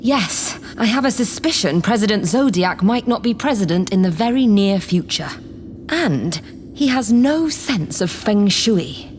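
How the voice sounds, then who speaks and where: A woman's voice: medium pitch, lively intonation, unhurried, darkish tone, lightly acted. Actress doing a bossy scheming spaceship boss lady, sarcastic, really swoopy voice, bit of noise behind. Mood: bossy, impatient. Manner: commanding, condescending, sarcastic. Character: imperious, scheming woman acting leader of a spaceship. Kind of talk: scripted sci-fi comedy audio drama.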